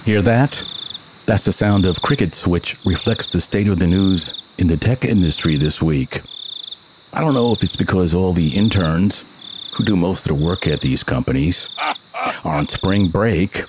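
A man's voice: deep voice, normal speed, background noise. A man hosting a podcast, reading scripted tech news commentary with a dry, wry delivery. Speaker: podcast host.

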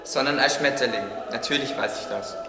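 A man's voice: mid-range voice, fairly quick, close to an everyday voice. Thoughtful gardener played by a man, echoing sound. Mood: thoughtful, devout. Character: thoughtful, devout male gardener, friend to animals. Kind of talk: German radio play acting. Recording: echoey hall, clear background noise.

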